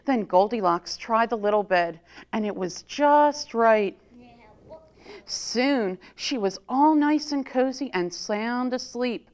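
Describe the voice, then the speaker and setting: A woman reading a children's story aloud as a storytime reader, in an expressive, deliberate manner. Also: mid-range voice, clear, resonant tone. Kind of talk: expressive picture-book read-aloud for children.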